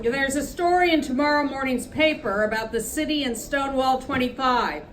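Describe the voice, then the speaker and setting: A woman's voice: high-pitched, moderate pace, a little hiss or hum, natural voice. A woman reading aloud, voicing a blunt woman friend who fumes while delivering bad news. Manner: blunt, fuming. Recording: echoey room from a distance.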